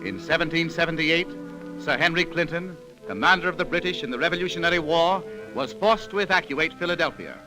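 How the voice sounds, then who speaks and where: A man's voice: a little high, animated, brisk, over music, poor audio. A man narrating a scripted program in a dramatic, emphatic 1930s radio style. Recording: vintage 1930s radio recording.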